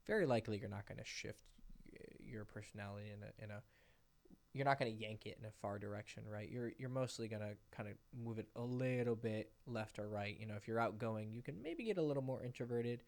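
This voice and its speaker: A man giving casual dating advice, talking loosely and animatedly and acting out bits of example conversation, with a voice he calls fairly high-pitched. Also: fairly quick, vocal fry. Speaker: dating coach. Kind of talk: casual advice monologue to camera. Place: indoors, sitting in a rocking chair.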